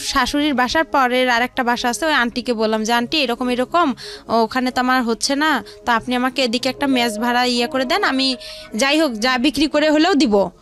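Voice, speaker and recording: High-pitched young Bangladeshi woman over music. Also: fairly bright tone. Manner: rambling, colloquial, repeats phrases. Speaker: guest telling her life story. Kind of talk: personal storytelling in a studio interview. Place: radio studio.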